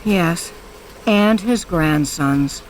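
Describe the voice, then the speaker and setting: A woman's voice: lowish voice, animated, drawn-out words, a little hiss or hum, rough recording. An actress playing a guarded, stern woman hiding from her past in an audio drama, speaking tersely and firmly. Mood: dry.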